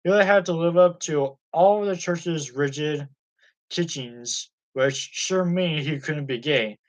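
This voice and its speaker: A man's voice: on the high side, slow pace, rough recording. A man reading a biography aloud, in a plain way with occasional slips. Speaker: audiobook reader.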